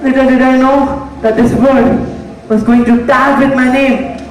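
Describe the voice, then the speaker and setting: A teenage boy playing a murderous, self-righteous judge in a dramatic, deliberate stage monologue with repeated phrases. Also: extremely high pitch, flat delivery. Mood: brooding. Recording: echoey hall, far mic, faint music.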